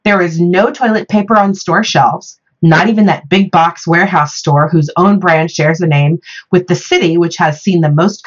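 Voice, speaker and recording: Female podcast presenter, slightly low husky voice, reading a prepared monologue on muffled lo-fi audio. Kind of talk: scripted topical monologue.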